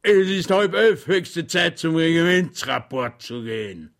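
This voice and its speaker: A man putting on a loud rambling old colonel. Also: a little high, animated, vocal fry, voice pushed up, heavily acted. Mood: pompous, blustering. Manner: loud, drawn-out, pompous, wandering off topic.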